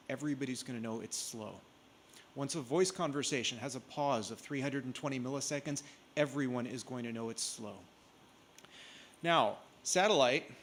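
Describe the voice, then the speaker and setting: A man's voice: mid-range voice, a little hiss or hum. A man, giving a technical conference presentation from a lectern in a large hall, explaining plainly with frequent ums. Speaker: conference speaker. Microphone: lectern mic.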